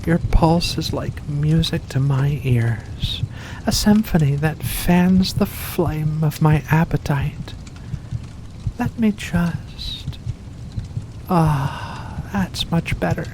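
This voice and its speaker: A seductive vampire creature, played by an ASMR performer speaks at a very slow, deliberate pace in a mid-range voice. The delivery is intimate and predatory, purring with menace as if coaxing prey. A clear wash of rain-like noise sits behind the voice. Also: mid-range voice, close to an everyday voice. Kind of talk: ASMR roleplay monologue.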